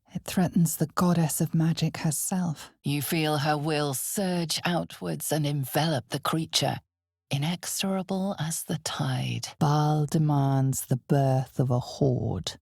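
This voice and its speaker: Slow, low, gravelly fantasy narrator. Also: female voice, a little mellow, dry recording, clean studio sound, exaggerated voice. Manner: measured, theatrical, deliberate phrasing with weighted pauses. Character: female game narrator, ominous, knowing, darkly solemn storyteller. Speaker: video game narrator. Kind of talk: dramatic second-person fantasy narration.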